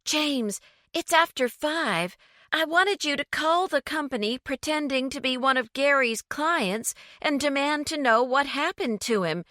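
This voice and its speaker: Brief: insistent, urgent young woman, raised pitch and lively, by the woman narrator. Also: unhurried, no room sound, studio quality. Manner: urgent, pleading, bossy.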